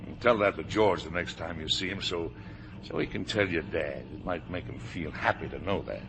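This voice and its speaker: An actor playing a blustery, proud older factory boss and father in a 1950s radio drama, with a gravelly voice. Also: low-pitched, normal speed. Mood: hearty, persuasive. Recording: noisy old radio broadcast recording.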